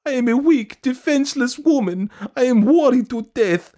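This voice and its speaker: A man voicing a whining, stubborn middle-aged woman who pleads her case in a shrill, insistent wail from a storybook. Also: very animated, a little mellow. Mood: wailing, pitiful. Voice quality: shrill, piping. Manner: wailing, insistent, rapid complaining.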